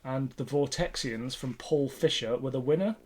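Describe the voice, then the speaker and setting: A man's voice: mid-range voice, normal speed, darkish tone. A man co-hosting a podcast in casual, jokey, conversational fan chat. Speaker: podcast co-host.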